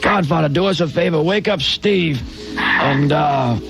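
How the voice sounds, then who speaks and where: A man working as a morning radio host delivers a casual wake-up call. His voice sits fairly high with a marked creak, and music plays clearly behind him. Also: a little slow, fairly bright tone. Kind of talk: casual prank-style wake-up call on air.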